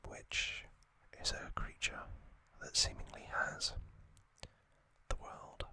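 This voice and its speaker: An ASMR host whispers very slowly in a breathy, unvoiced hush. The sound is bright and crisp at the edges, and the delivery is halting, with gentle pauses between short phrases as trivia is recapped. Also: no room sound.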